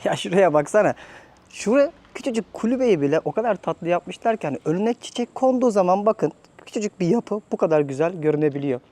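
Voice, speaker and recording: Mellow, chatty travel vlogger. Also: medium pitch, animated, quick pace. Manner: chatty, conversational, addressing viewers directly. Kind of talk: casual travel vlog talk to viewers.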